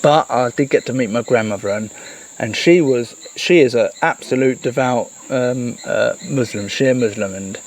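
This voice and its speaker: Middle-pitched voice, rambling casual monologue, earnest and halting, insects chirping in background noise. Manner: reflective, earnest, halting, with repeated words. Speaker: host of a solo talk. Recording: noisy, with chirping insects behind.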